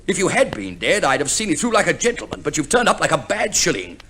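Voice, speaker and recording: An actor playing a vain, aggrieved gentleman husband in a 1950s radio comedy, theatrical and full of mock sincerity. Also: on the high side, huge pitch swings, fast talker, a little hiss or hum.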